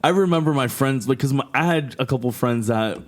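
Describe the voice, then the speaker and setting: A man hosting a podcast in casual interview chat, talking in slangy, excitable fan style and laughing often. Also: mid-range voice, a little fast. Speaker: podcast host.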